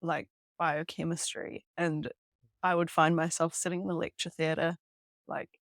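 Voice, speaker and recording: A woman's voice: deep voice, little pitch movement, unhurried, no echo. Young New Zealand woman, podcast guest, chatty, filler-heavy, close dry sound. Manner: chatty, lots of "um" and "like", laughs easily. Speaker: podcast guest, hiking guide. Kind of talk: casual interview chat. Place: hostel room.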